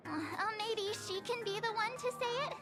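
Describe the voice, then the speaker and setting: A woman voicing a young girl heroine in an anime-style video game, playful and expressive. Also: extremely high pitch, moderate pace. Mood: sheepish. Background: game music.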